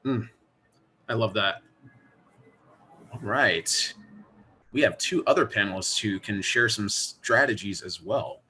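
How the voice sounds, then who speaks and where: A man's voice: fairly low pitch, slow pace, long pauses, sharp tone, a little hiss or hum. A man moderating a panel, responding briefly with affirmations and putting questions to the panelists.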